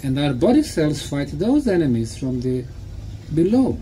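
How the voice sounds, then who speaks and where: A man lecturing in short, emphatic phrases, pausing for an interpreter, with a deliberate, didactic manner. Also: a little high, sing-song, dark tone, a little hiss or hum, rough recording. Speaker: lecturer and guide.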